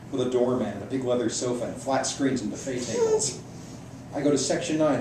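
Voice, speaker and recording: A man reading a personal essay aloud to an audience. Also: mid-range voice. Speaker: reader at a library book reading. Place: indoor room at a public library event. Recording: echoey room, distant mic, clear noise.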